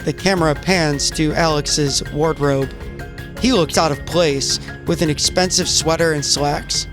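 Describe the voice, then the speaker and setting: A man narrates in a scripted, cinematic style, setting scenes like a screenplay. His voice is a bit higher than average, with music clearly audible behind him. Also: steady tone, a little slow. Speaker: narrator and player.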